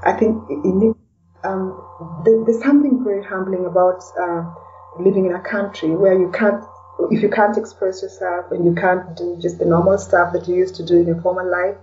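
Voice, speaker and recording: A woman's voice: on the low side, fairly even intonation, normal speed, a little mellow, soft background music. A middle-aged woman with a Kenyan accent answering interview questions in a reflective, hesitant conversational way, often adding "you know". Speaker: podcast guest, blogger and podcaster. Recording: distant mic, dull lo-fi audio.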